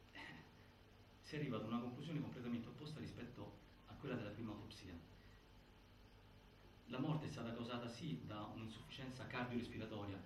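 An actor playing a grave narrator of a prison death inquiry, reciting findings with emphatic delivery and halting pauses in theatrical documentary style. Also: male voice, mid-range voice, natural voice. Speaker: stage actor narrating an investigation. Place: indoor stage, picked up from afar. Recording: distant, echoey, noisy theatre recording.

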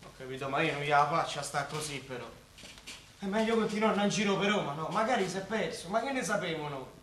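A man's voice: a little high, lively intonation, fast, a bit bright. A man acting in a Roman-dialect radio play as an earnest, restless young man from a working family. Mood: earnest, worried. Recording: echoey room, distant mic, hissy noise.

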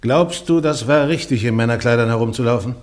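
A man's voice: medium pitch, brisk, close to an everyday voice. A man playing a persistent church interrogator. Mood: insistent. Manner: persistent, pointed questioning. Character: male church interrogator, probing and insistent. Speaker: trial judge. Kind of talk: German radio play acting.

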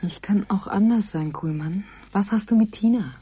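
A suspicious woman presses her questions in a very husky, breathy voice with a dark tone. She is pointed and insistent, in the style of a radio drama. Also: mid-range voice, normal speed, no echo. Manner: probing, pointed. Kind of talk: German radio drama acting.

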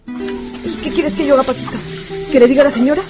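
A woman's voice: sits high, quick pace, music in the background, close to an everyday voice. A woman playing a poor, exasperated and fearful servant mother in a radio drama. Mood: exasperated, anxious.

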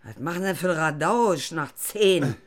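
Blunt Berlin neighbour woman, animated. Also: deep voice, moderate pace. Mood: grumpy, curious. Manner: brusque, colloquial. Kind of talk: radio crime drama acting.

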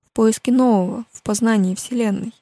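A woman's voice: a little high, steady tone, brisk, dark tone, no room sound, natural voice. A woman voicing a thoughtful, questioning teenage boy in an audiobook, in colloquial speech. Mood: objecting.

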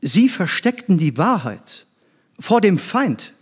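An actor playing an earnest middle-aged scientist and former pupil in a stage drama, intense and theatrical. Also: male voice, sits high, sing-song, slow, breathy edge, heavily acted. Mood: fervent, admiring.

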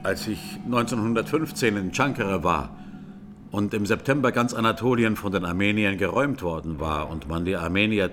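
An actor playing a grave Armenian clergyman who testifies in court in a solemn, deliberate way, in a radio drama. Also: lowish voice, fairly bright tone, slight background noise. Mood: firm, bitter.

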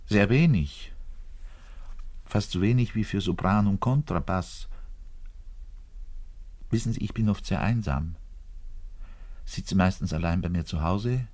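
A man playing a lonely, bitter, self-pitying middle-aged double bass player in a grandiloquent theatrical radio monologue. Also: a little mellow, heavily acted. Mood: insistent, aggrieved, then lonely, confiding. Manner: grandiloquent, swinging between outbursts and muttering. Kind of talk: theatrical monologue addressing the listener.

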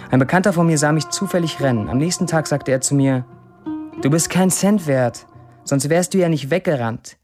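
An actor playing a defiant, self-mocking young Syrian man in a declamatory, deliberate audio drama monologue. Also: mid-range voice, a little mellow, close to an everyday voice. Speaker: actor performing an author's social media posts.

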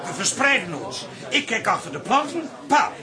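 Comic radio role: brisk, commanding son, very high, animated. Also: male voice. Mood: urgent. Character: bossy, hurried adult son taking charge. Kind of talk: comic radio play acting. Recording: crowd chatter behind.

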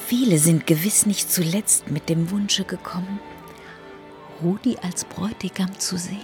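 An actress playing a society gossip who shares news in a partly whispered voice in a radio drama. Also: female voice, fairly low pitch, moderate pace, natural voice. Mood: confiding. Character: breathy female society gossip sharing news. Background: music.

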